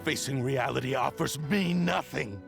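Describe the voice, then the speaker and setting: A man's voice: mid-range voice, normal speed, vocal fry, music in the background, lightly acted. A man voicing a proud, bitter sword spirit in a video game, speaking in haughty, menacing, archaic phrases. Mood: cold, resolute. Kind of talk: dramatic game voice acting.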